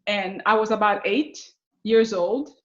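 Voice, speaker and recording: A middle-aged woman with a Haitian accent telling personal stories as an interview guest, often halting and repeating words. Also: mid-range voice. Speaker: podcast guest, success coach. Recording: slightly dull, lo-fi connection.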